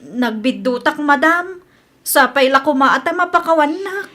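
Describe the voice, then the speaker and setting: Woman doing high sharp ilocano filipino lady, evasive and defensive, radio drama style. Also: a little slow. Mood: nervous, pleading. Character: defensive Filipino working woman caught stealing, evasive wife.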